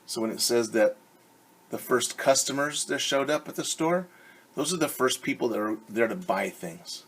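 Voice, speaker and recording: Husky-voiced teacher guy doing story time, asks the kids questions as he goes. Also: medium pitch, expressive, normal speed, fairly bright tone. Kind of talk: reading a picture book to children.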